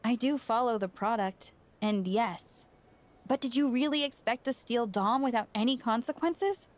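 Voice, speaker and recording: A woman's voice: a little high, moderate pace, natural voice. Woman acting a candid, sarcastic friend. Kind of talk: video game cutscene acting.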